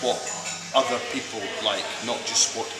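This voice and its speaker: A Scottish man in his fifties, chatting in an interview about his career, with lots of fillers and pauses. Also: mid-range voice, steady tone, roomy sound, over music. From Scotland. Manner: hesitant, many fillers, chatty. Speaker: interviewee, creative director. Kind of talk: casual interview.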